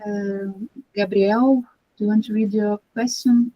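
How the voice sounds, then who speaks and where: A native Portuguese speaker moderates a talk and reads audience questions aloud in English. The voice is mid-pitched and informal, with frequent pauses and fillers. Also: fairly even intonation, highs cut off.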